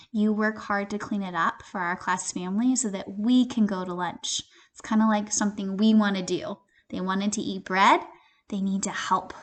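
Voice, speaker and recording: A woman teacher giving an interactive children's read-aloud, expressive and questioning, speaking directly to young listeners. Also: mid-range voice. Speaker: primary school teacher.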